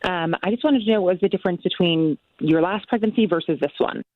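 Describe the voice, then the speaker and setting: A woman's voice: lowish voice, fast, dry recording. Dull lo-fi voicemail from a woman. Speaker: listener leaving a voicemail. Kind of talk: casual voicemail message.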